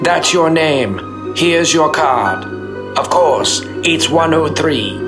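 An actor playing a vague, polite male motel night clerk in a radio drama, blank and halting. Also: a little high, expressive. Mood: blank, puzzled. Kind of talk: 1950s radio drama acting. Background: music.

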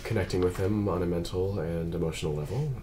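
A man reading the part of a formal, reserved android detective partner in a measured, articulate way at a drama table read. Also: low-pitched, warm tone, lightly acted. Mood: earnest, hesitant. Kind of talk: script read-through of a sci-fi drama. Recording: background noise of a group room.

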